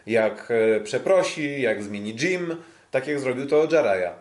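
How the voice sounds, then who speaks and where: A man hosting a casual sports podcast, talking in a hesitant, halting way. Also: mid-range voice, lively intonation. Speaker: podcast host. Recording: roomy, echoing sound.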